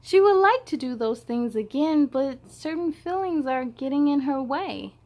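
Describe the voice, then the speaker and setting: A woman hosting a children's read-aloud, expressive and playful, speaking straight to young listeners. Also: sits high, unhurried.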